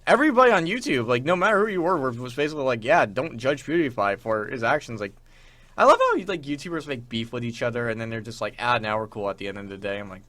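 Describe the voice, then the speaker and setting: A man's voice: medium pitch, very animated, fast talker, a bit bright, no room sound. A man hosting a show with casual, rambling chat about games and internet culture, acting out imagined quotes as he goes. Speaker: show host. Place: small radio studio.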